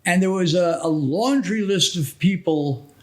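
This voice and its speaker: A middle-aged man telling stories casually on a podcast, rambling and halting, often repeating phrases as he goes. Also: high-pitched. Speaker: podcast host.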